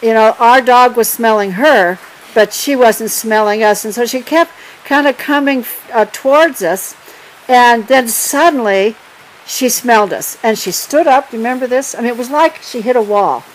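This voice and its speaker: An older woman telling stories in a lively way, laughing often and prompting her partner. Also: on the high side, normal speed, rough recording. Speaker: storyteller. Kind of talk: casual storytelling.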